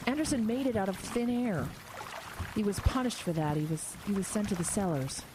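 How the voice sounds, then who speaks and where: A woman acting a frightened, compassionate investigator in an audio horror drama, earnest and halting under strain. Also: mid-range voice, background noise, lightly acted. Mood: urgent explaining.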